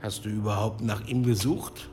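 A man's voice: on the low side, steady tone, normal speed, darkish tone, soft background music, lightly acted. Man acting stern, exasperated monk herbalist. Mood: impatient. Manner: exasperated, schoolmasterly. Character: stern, impatient monk herbalist scolding his assistant. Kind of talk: German radio play acting.

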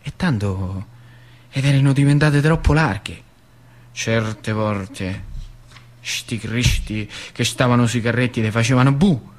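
A man's voice: medium pitch, slow pace, close to an everyday voice. An actor playing an old working-class factory man with a central Italian accent from northern Lazio, rambling and confiding as he reminisces in a theatrical monologue. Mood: wry, confiding. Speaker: stage actor.

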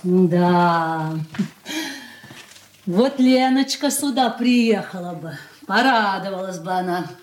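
An actress playing a discontented, nosy grown daughter in a radio play, brisk and complaining. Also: female voice, on the low side, animated. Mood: prying.

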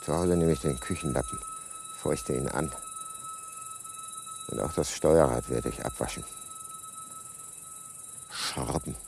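An actor playing a furtive, coolly calculating husband in a German radio crime play, often muttering clipped thoughts to himself. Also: male voice, sits low, huge pitch swings, slow, pauses often, heavily acted. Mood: cold, calculating. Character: furtive, tense husband, polite in public, coolly calculating murderer. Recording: noisy 1980s radio drama production.

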